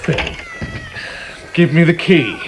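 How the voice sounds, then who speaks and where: An actor playing an urgent radio reporter in a radio drama, with clipped, emphatic and dramatic delivery. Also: male voice, on the high side, lively intonation, slow pace, noisy background. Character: urgent male radio reporter describing alien creatures under attack. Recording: radio drama with loud music and effects.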